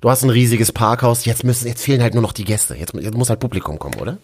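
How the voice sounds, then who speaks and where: A man's voice: mid-range voice, fast talker, a little mellow, husky. A man from Berlin hosting a local news show in a cheerful, casual way, full of jokey asides. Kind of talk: casual upbeat news chat.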